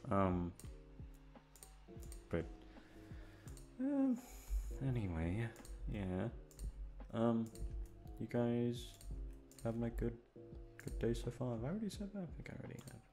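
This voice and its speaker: A man's voice: medium pitch, sing-song, unhurried, long pauses, mellow, faint music behind. A young man chatting casually and aimlessly to his listeners, self-deprecating, hesitant and sweary. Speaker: audio creator and voice actor. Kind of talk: rambling casual chat to listeners.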